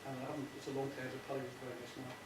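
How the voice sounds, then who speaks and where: Fast-talking Northern Irish man, very echoey. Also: medium pitch, monotone, crowd noise. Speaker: playwright giving a thank-you speech. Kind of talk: informal public speech. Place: echoey indoor hall before an audience. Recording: very echoey, distant, noisy with clicks.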